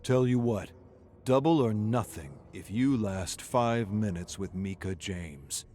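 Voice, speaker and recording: A man's voice: lowish voice, slow pace, warm tone, soft background music. A man voicing a mocking, sly trash-talker who goads his friend into bets in a dramatic story reading. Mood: smug, goading. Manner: taunting, goading. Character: mocking male betting rival, sly needling trash-talker. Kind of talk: dramatic audiobook character voice.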